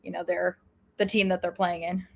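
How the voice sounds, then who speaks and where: A woman's voice: fairly low pitch, flat delivery, moderate pace, gravelly. A woman co-hosting a sports podcast, chatting casually in a conversational, articulate way. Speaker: podcast co-host.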